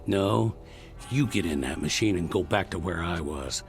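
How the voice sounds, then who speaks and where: An actor playing a ruthless, bitter Old West outlaw with a folksy, contemptuous drawl in an old television drama. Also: male voice, deep voice, animated, moderate pace, soft background music. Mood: bitter, scornful. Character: ruthless, bitter 1880s western outlaw, hardened and menacing.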